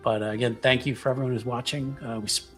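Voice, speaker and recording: A man's voice: medium pitch, fairly even intonation, fast, fairly bright tone, soft background music. A man hosting a tabletop gaming stream, chatting casually and jokingly in loose, hesitant phrases. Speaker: host of a tabletop roleplaying stream. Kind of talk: casual stream sign-off chat.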